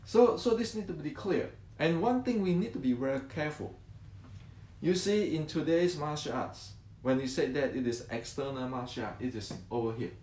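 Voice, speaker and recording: A man's voice: on the high side, expressive, normal speed, darkish tone. Man in his 60s, martial arts teacher, restarts a lot, asks then answers himself, roomy echo. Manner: repeats words as he explains, asks and answers his own questions. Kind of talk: explanatory talk to viewers.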